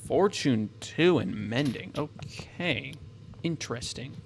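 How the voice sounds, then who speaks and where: A man's voice: medium pitch, expressive, slow pace, gravelly, background noise. Rambling man narrating his gameplay. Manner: rambling, thinks aloud, self-correcting. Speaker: gameplay commentator. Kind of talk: casual let's-play commentary.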